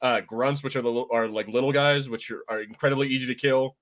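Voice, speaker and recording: A man hosting a casual podcast discussion, explaining things in an informal, rambling and halting way. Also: mid-range voice, steady tone, over a noisy crowd. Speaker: podcast host. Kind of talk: casual pop-culture discussion, explaining game lore.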